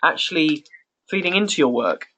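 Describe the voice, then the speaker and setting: A man hosting a casual conference call, talking in a halting way and repeating phrases as he goes. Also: a little high, lively intonation, pushed and bright, slight background noise, poor audio. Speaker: call host.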